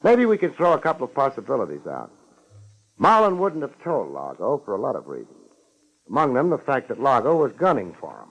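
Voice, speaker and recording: Male, hard-boiled radio gumshoe: dry, wisecracking, unruffled, lively pitch, vintage fifties recording. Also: fairly quick, husky, heavily acted, rough recording. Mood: wry, probing. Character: wry, hard-boiled male private detective, coolly unruffled. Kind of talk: 1950s radio detective acting.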